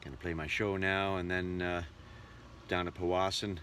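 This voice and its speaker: Deep-voiced man vlogging. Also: flat delivery, moderate pace, a bit bright. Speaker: travelling entertainer and vlogger. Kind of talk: casual travel vlog chat. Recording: outdoor ambience and vehicle noise.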